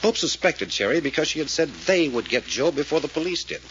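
An actor playing a commanding detective who explains a case emphatically, in the style of a 1940s radio serial. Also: male voice, fairly high pitch, animated, brisk, a bit bright. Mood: intent, explaining. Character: brisk, commanding male detective explaining a murder. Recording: muffled, hissy old radio recording.

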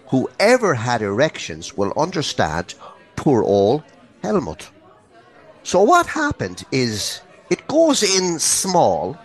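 A man co-hosting a comedy podcast, telling bawdy stories crudely and with theatrical emphasis. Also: mid-range voice. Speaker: podcast co-host, comic storyteller. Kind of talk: bawdy comic storytelling.

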